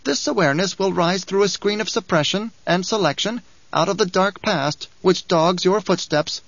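A man's voice: high voice, moderate pace, fairly bright tone, dry recording, dull audio, voice pushed up. A man reading an audiobook, voicing a whining, spiteful male dwarf who taunts and giggles. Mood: taunting.

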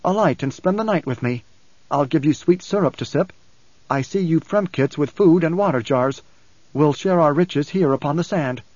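A man voicing a small boy prophet in an audiobook, ancient beyond his years, speaking with calm, formal assurance. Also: a little high, normal speed, no room sound, dull audio, lightly acted. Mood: calm, commanding.

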